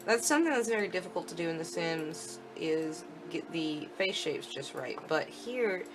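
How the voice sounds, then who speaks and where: A woman's voice: low voice, expressive, moderate pace, over music. A woman giving casual commentary on a game demo, thinking aloud informally as she goes. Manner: informal, thinking aloud while clicking through. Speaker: gaming video host.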